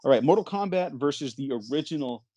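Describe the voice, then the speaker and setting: A man's voice: on the high side, animated, fast talker, dark tone, no room sound. A man hosting a casual movie discussion podcast, talking in a conversational way. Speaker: podcast host.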